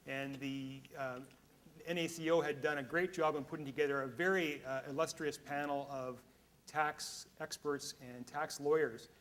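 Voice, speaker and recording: A man's voice: fairly high pitch, steady tone, normal speed, a bit bright. A man from Canada moderating a conference panel, speaking candidly with frequent restarts. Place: conference hall.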